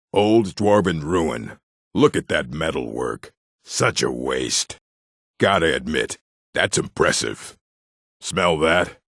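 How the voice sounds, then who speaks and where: Fantasy game orc: proud, curt and stern, deep rough voice, very dry close sound. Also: male voice, a bit bright, no echo, full character voice. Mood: gruff, curt. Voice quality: gravelly, rough. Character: gruff adult male orc, blunt proud fantasy warrior folk. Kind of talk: video game character voice lines.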